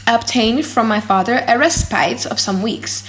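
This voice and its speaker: A woman reading a gothic novel as an audiobook in a dramatic, theatrical and highly expressive manner. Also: moderate pace, poor audio. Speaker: audiobook narrator.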